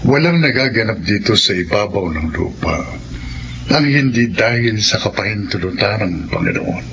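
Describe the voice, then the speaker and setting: An earnest Filipino parish priest with a lowish voice, explaining things in a measured, didactic manner. The radio drama audio is dull, with clear noise. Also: male voice, lively intonation. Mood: earnest, grave.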